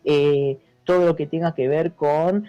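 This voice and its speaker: A man with a Buenos Aires accent talks as a guest, explaining in a casual, halting way. His voice is dark and mellow. The recording is dull and dry, with faint music behind it. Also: a little high, steady tone, dry recording. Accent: Argentine Spanish, Buenos Aires. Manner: halting, repeats words, seeks agreement with tags. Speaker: interview guest, history teacher. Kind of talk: casual explanatory interview talk.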